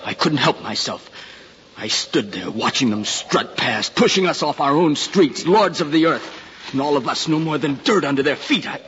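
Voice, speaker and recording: A hotheaded young rebel, bitter and defiant, slightly high voice, dull old radio recording. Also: male voice, expressive, normal speed, a bit bright, slight background noise. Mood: desperate. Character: hot-headed, bitter young rebel nephew on the run. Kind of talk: 1950s radio drama acting.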